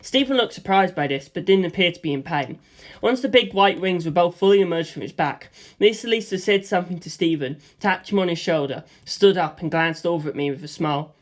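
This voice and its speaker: A reader telling an adventure story in the first person, moving through the scenes in a steady, plain way. The voice sits at an ordinary middle pitch and stays even and unhurried from line to line. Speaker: audiobook reader.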